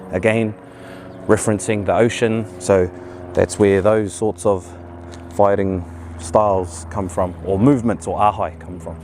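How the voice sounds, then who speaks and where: Low, raspy New man teaching. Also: slight background noise. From New Zealand. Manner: relaxed, explaining step by step. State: raspy after a cold. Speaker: mau rākau instructor, channel host. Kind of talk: casual spoken tutorial.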